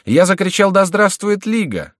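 A man's voice: high-pitched, big pitch swings, moderate pace, dry recording, studio quality, pitched above the performer's own voice. A man voicing an outraged, battered young nobleman, a king's favourite, indignant and theatrical, in an audiobook. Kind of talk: audiobook character voice.

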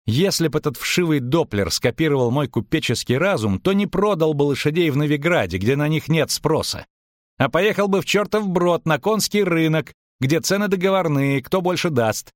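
A man's voice: on the high side, animated, moderate pace, no echo, studio quality, pitched above the performer's own voice, close to an everyday voice. A man voicing an indignant, scolding male halfling merchant in a fantasy audiobook. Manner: loud, scolding, exclamatory. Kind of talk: audiobook character acting.

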